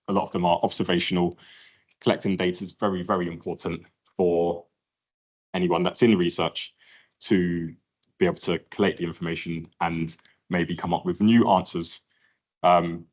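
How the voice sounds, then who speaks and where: Man, deep voice, husky, halting presentation, lots of ums. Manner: halting, many ums and restarts. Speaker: clinician speaker at a patient event. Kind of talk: presentation talk with slides. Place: event room with audience.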